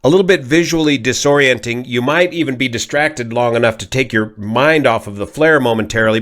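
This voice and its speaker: An American man, a pilot from California, giving an informal analysis in a measured, methodical, technical way. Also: mid-range voice, a bit bright. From California, United States. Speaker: pilot and aviation commentator. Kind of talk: informal explanatory accident analysis.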